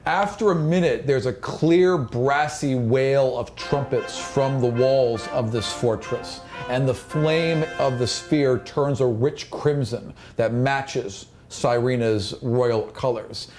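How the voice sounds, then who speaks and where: A man's voice: medium pitch, slow pace, few pauses, darkish tone, faint music behind. A man narrating a tabletop fantasy game as game master, moving between descriptive scene-setting and casual asides.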